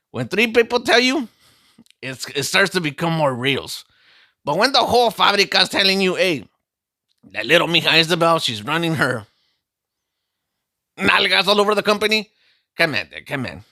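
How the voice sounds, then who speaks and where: A man doing a cocky streetwise vato, boasting through a comic tale in slang. The voice sits a bit high and is very bright, swinging up and down with lively intonation. It is recorded close and dry. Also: dry recording, full character voice. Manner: slangy, calls listener "dog" constantly, boastful storytelling. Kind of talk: comic character monologue.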